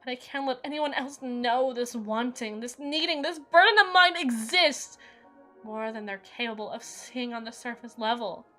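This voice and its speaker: A woman's voice: on the high side, moderate pace, faint music behind. A woman playing an unstable, brooding evil queen in an intense, theatrical audio roleplay, speaking in formal, dramatic monologue. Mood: desperate craving. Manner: theatrical, formal, intense, swinging between hushed and forceful.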